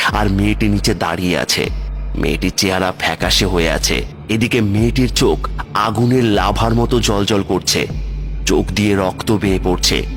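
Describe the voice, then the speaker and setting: A man's voice: lowish voice, fairly bright tone. A man giving scripted narration for a cartoon horror story.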